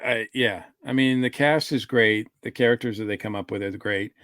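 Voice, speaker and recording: A man's voice: fairly low pitch, brisk, a bit bright, no echo. Casual, hesitant man in a dry recording. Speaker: podcast co-host. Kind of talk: casual review discussion.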